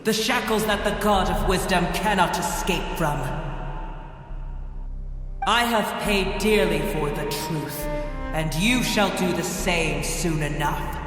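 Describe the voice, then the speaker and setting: An actor playing an ancient, gravely ill male dragon who is proud and bitter, speaking slowly and scornfully in a game. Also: a bit bright, soft background music. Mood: solemn. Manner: slow, scornful, solemn. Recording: deep echoing hall reverb.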